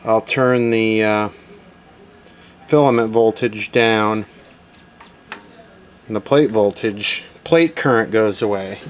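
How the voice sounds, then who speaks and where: Low-voiced man narrating hands-on repair work. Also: takes it slowly, long pauses, a little hiss or hum. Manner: matter-of-fact, thinking aloud while working. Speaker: electronics repair video host.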